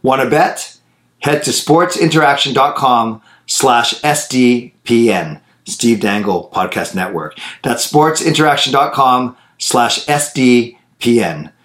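Brisk male ad announcer, roomy sound. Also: mid-range voice, fairly bright tone. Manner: brisk, salesy delivery. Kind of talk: scripted ad read.

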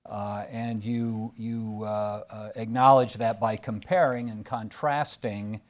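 A man moderating a panel discussion, introducing the speaker and asking a long, halting question. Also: fairly low pitch, steady tone. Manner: hesitant, many "uh" fillers, halting questions.

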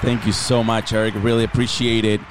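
A man's voice: on the low side, little pitch movement, a little fast, over music. A man hosting a podcast interview, asking questions with many hesitant restarts. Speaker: podcast host.

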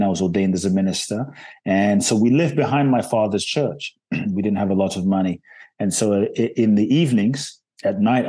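A man telling his life story as a podcast guest, earnest and reflective, often pausing on "and so" and "uh". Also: lowish voice, warm tone, breathy edge. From Ghana.

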